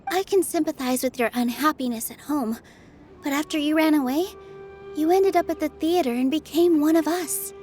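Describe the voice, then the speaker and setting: Game VO: actress as a kind young dancer, high and gentle, sympathetic and encouraging. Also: female voice, normal speed, faint music behind, close to an everyday voice. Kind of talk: video game voice acting.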